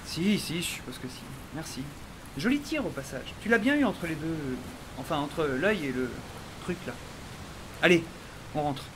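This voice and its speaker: A man's voice: on the high side, expressive, normal speed, background noise, lightly acted. An actor playing a sarcastic, geeky young male scientist in an audio drama, wryly telling his adventure and rambling. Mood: exasperated. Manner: wry, rambling, talks to the listener. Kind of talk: audio drama narration and dialogue.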